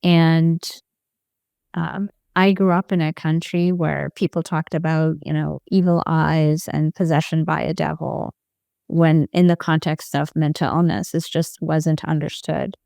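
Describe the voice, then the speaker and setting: Woman, 40s, low gravelly voice, thoughtful soft-spoken guest. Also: fairly even intonation, a little slow, no room sound, clean studio sound. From Ethiopia. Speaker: guest, former psychiatric nurse. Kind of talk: personal storytelling in an interview.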